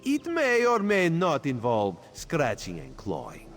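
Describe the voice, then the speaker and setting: Playful, secretive cat-man spy for a game, lively sing-song delivery, speaks of himself in third person, faint music behind. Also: fairly high pitch, measured pace, full character voice. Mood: sly. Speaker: spymaster quest giver. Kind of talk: video game character dialogue.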